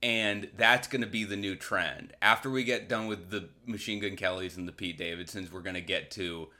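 Forceful, low-voiced American man riffing casually. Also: steady tone, normal speed. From Massachusetts, United States. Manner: rambling, irreverent, crude, sardonic. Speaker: solo podcast host. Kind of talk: casual comedic monologue.

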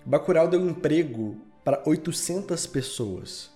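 A man's voice: a little high, animated, unhurried, mellow, soft background music. A Brazilian man in a casual interview chat, talking as a podcast guest in an enthusiastic, slangy, rambling way. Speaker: podcast guest, audio drama creator and editor.

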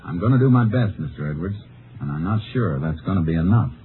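An actor playing a determined Texas Ranger in a 1950s radio drama, an American with a folksy, clipped, understated delivery. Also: male voice, sits low, expressive, fast, loud music behind the voice. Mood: intent, questioning. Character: laconic, determined male Texas Ranger investigator, also narrating. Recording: muffled old radio recording, narrow band.

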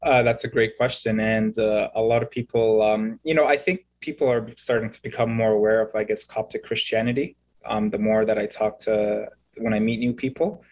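Halting, explanatory man in his thirties. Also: on the low side, fairly even intonation, a little mellow. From Egypt, raised in Canada. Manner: halting, explanatory, checks in with "right?". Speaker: interview guest. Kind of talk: conversational storytelling.

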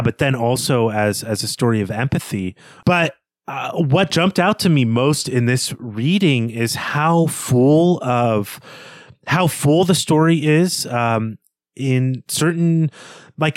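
A man hosting a podcast, talking analytically about literature in a halting, discursive way that circles toward his point. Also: mid-range voice, expressive, dry recording, clean studio sound. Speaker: podcast host.

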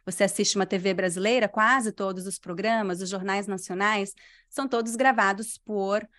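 A Brazilian woman with a mixed Brazilian Portuguese accent, a language teacher answering interview questions in clear, unhurried speech. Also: medium pitch, fairly even intonation, a bit bright. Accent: Brazilian Portuguese, mixed regional. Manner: clear, unhurried diction, completes every phrase.

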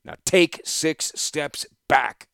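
A man voicing a stern veteran weapons master who gives calm, commanding orders. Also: on the high side, very slow, gravelly, no echo, clean studio sound, pitched above the performer's own voice, full character voice. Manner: calm, commanding, dryly threatening.

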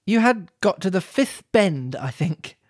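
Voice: mid-range voice, big pitch swings, a little slow, no echo, studio quality. Storybook girl, put on by the reader: curious, humble, well-mannered. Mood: earnest. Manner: earnest, apologetic. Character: polite, curious young girl.

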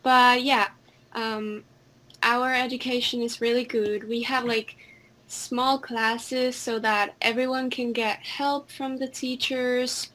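A woman's voice: fairly high pitch, flat delivery, takes it slowly, pushed and bright. A woman from Finland with a Finnish accent, giving casual, hesitant and giggly answers as an interview guest.

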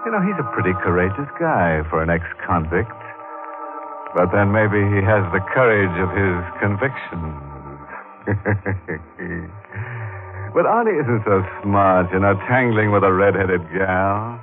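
A man's voice: sits low, expressive, a little slow. A man playing a macabre, wisecracking host narrating an old radio mystery show in a mock-genial, sardonic manner. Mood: gleefully macabre. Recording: tinny old radio broadcast. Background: music.

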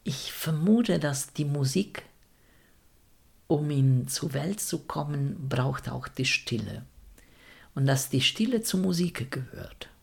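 A man's voice: mid-range voice, gravelly, husky. A man from Rome speaking German with an Italian accent, answering interview questions thoughtfully and searching for words. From Italy (Rome). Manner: thoughtful, searching for words, non-native grammar.

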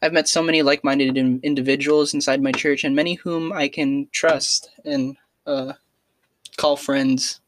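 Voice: medium pitch, flat delivery, normal speed, a little hiss or hum. A young adult student talking through a casual personal podcast in an earnest, hesitant way, with many fillers and restarts.

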